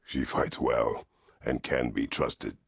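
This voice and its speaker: An actor playing a stern, weary, middle-aged Greek war god in a video game, speaking tersely and formally with a gravelly, husky voice. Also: male voice, extremely low pitch, steady tone, takes it slowly, no room sound, clean studio sound, full character voice. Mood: grave, subdued. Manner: terse, grave, formal phrasing without contractions. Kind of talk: dramatic video game voice acting.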